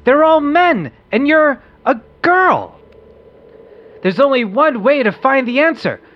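An excitable young boy hero voiced by a woman talks with lively swings of pitch. He is earnest, eager and polite, in an amateur radio play taken from a comic book. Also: takes it slowly, dull audio, exaggerated voice. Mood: amazed, eager.

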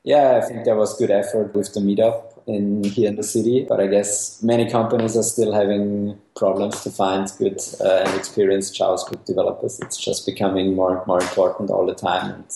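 Low-voiced man, echoey room. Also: level tone, a little fast, vocal fry, few pauses, mellow, a little hiss or hum. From Austria. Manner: hesitant, many restarts and fillers. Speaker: guest developer. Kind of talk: casual technical interview.

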